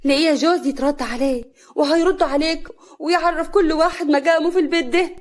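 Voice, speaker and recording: Woman playing wronged young village wife, high voice, indignant, plaintive, Upper Egyptian accent, audio story. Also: a little fast, close to an everyday voice. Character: hurt but defiant young village wife.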